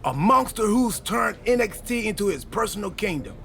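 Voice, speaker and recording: A man playing an excitable, goofy time-travelling wrestler in a video game cutscene, wisecracking through dramatic exposition. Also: high voice, moderate pace, vocal fry, faint music behind, heavily acted. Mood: wry, animated.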